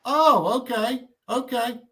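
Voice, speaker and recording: A man's voice: very high-pitched, takes it slowly, fairly bright tone, husky. A fifty-year-old man in a casual chat, talking effusively and often repeating his phrases. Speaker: guest on a livestream chat.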